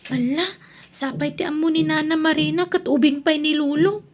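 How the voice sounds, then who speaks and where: A woman plays a younger Ilocana in a radio comedy drama. Her voice is high-pitched, and her delivery is expressive and emphatic, with an Ilocano accent. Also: moderate pace, lightly acted. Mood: surprised, earnest.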